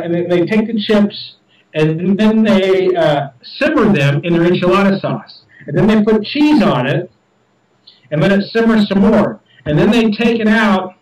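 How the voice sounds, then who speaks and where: A man's voice: high voice, a little mellow, reverberant, far from the mic, low-quality recording. A man hosting a casual chat show, rambling with many repeated words and restarts. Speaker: podcast host reviewing beer.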